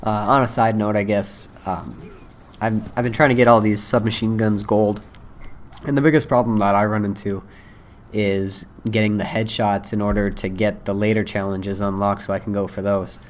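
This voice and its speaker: A hoarse young man chatting about a game in a low, fairly flat voice. He rambles loosely, filling pauses with ums and uhs. A faint hum sits underneath the recording. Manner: rambling, many fillers. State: hoarse from a cold. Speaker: gaming video creator. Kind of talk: casual first-impressions talk.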